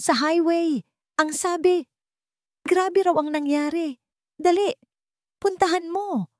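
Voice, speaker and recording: A woman acting a frantic, high-pitched female neighbour. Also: slow, no room sound, studio quality. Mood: panicked. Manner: urgent, exclaiming. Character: frantic, breathless female neighbour bringing bad news.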